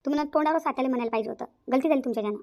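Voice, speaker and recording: A woman's voice: high voice, racing, a little mellow. A woman playing a blunt, headstrong young daughter-in-law with a Varhadi accent in a cartoon family drama. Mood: assertive. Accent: rural Maharashtra, Varhadi. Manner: outspoken, insistent, combative.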